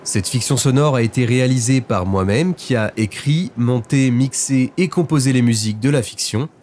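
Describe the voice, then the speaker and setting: A man's voice: medium pitch, normal speed, a little mellow, slight background noise. A man giving a scripted spoken outro, reading the closing credits as the creator of an audio fiction. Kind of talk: scripted narration. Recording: music behind the voice. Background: music.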